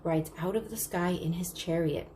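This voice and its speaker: A woman reading a storybook, voicing a practical, caring older sister, a girl who explains things calmly. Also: fairly low pitch, moderate pace, natural voice. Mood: calm storytelling. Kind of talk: storybook character dialogue. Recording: clearly noisy background.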